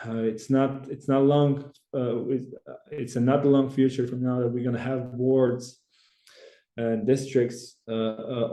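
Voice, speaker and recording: A man's voice: medium pitch, warm tone, reverberant. A man from Croatia speaking English with a Croatian accent, an earnest podcast guest who restarts his phrases often. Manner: earnest, halting, many restarts. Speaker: church branch president, guest. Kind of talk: podcast interview.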